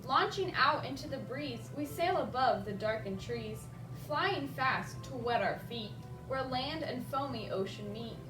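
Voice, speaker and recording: High-voiced woman reading to children, roomy sound. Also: a little slow, few pauses. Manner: expressive, addresses children directly with simple questions. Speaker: children's librarian reading a picture book at story time. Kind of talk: read-aloud rhyming children's story with asides to young listeners. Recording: roomy echo, distant mic, music behind.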